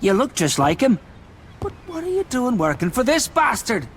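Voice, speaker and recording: A man's voice: extremely high pitch, wildly swooping pitch, normal speed, a little hiss or hum, full character voice. An actor playing an earnest, plain-spoken dockworker in a video game, a conciliatory man. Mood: accusing. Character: earnest, pleading peacemaker dockworker, grown man. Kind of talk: video game cutscene acting.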